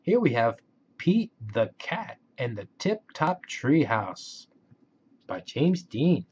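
A man reading a children's story aloud in a simple, expressive way, on a slightly dull home recording. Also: mid-range voice, slow, slightly rough. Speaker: picture book reader.

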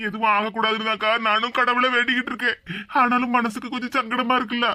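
Woman doing a worried young husband, flat pleading voice, dry close sound. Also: fairly high pitch, fast talker, dry recording. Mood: anxious. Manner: anxious, subdued, pleading.

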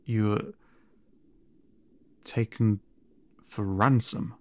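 A man playing a gentle, flustered siren in an audio roleplay, speaking haltingly and stammering with concern, often dropping to a breathy near-whisper. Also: lowish voice, no room sound. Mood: worried, baffled. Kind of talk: audio roleplay addressed to the listener.

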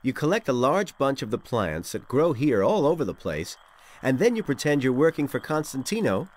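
An actor playing a helpful, knowing woodland wanderer in a fantasy video game. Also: male voice, mid-range voice, big pitch swings, normal speed. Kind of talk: video game dialogue acting.

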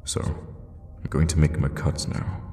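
A man voicing a sadistic, teasing male vampire captor in a hushed, intimate ASMR roleplay, with a deep, gravelly half-whisper. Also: lightly acted. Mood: teasing, soothing, sinister. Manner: slow, hushed, menacingly tender with pet names. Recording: long reverb, faint background noise.

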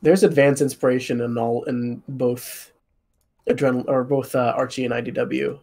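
A man's voice: mid-range voice, flat delivery, moderate pace, a little mellow. A man chatting casually as a composer answering questions on a panel.

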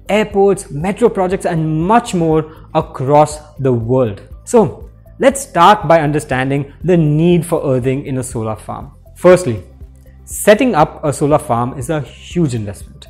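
A man in his thirties presenting a scripted technical explainer in a workshop, speaking with steady, clear delivery. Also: on the high side. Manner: clear, steady teaching delivery with hand gestures. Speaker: presenter for an electrical equipment maker. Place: indoor workshop with electrical panels.

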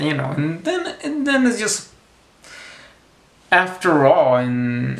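A man's voice: fairly high pitch, creaky, roomy sound. A man in his thirties rambling to camera in a casual monologue, often repeating words and restarting his sentences. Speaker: vlogger. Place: small room indoors against a plain wall.

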